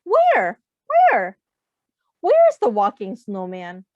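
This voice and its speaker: A woman voicing an eager, hurried young animal boy in a children's storybook, with exclaiming, sing-song delivery. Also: medium pitch, huge pitch swings, exaggerated voice. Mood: puzzled. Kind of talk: children's storybook read-aloud. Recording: muffled, roomy echo.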